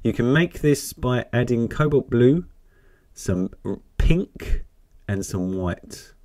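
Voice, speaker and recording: A croaky, deep-voiced man talks through a painting lesson while he works, hoarse from a cold. He sounds chatty and encouraging. His voice sits at a middle pitch for a man, recorded dry and close. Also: animated, takes it slowly, darkish tone, no room sound. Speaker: painting teacher. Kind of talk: step-by-step painting tutorial.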